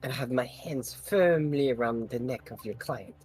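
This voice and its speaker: A man's voice: medium pitch, normal speed, darkish tone, close to an everyday voice. A man acts out a smug witness in a courtroom game fan dub. He accuses with theatrical relish and gloats over every word, with faint music behind him. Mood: accusing, dramatic.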